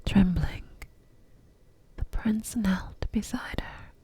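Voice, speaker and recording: Woman, breathy whisper, slow pace, soothing ASMR bedtime storyteller, short paused phrases. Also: mid-range voice, mellow.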